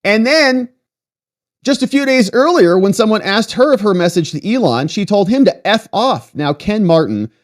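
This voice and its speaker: A man's voice: high-pitched, expressive, moderate pace. An American man hosting political commentary, reading articles aloud and breaking in with sarcastic, mocking asides.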